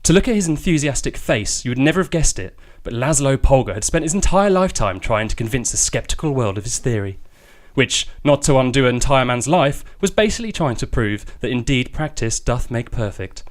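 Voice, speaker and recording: A man's voice: medium pitch, very animated, fairly quick, hardly any pauses. A man hosting a business podcast, bantering with his co-host and reading a comic story aloud as narrator. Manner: jokey, teasing, reads narration with flourishes.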